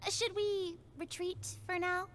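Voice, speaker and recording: A woman's voice: extremely high pitch, little pitch movement, slow, a bit bright, slight background noise, exaggerated voice. A woman voicing a timid, earnest young female role-player in a video game, with a nervous, hesitant manner. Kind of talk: animated video game acting.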